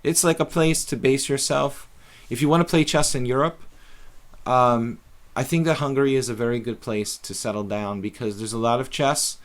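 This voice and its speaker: A man's voice: mid-range voice, normal speed. A middle-aged man casually commentating his own chess games on a live stream, thinking aloud with many fillers.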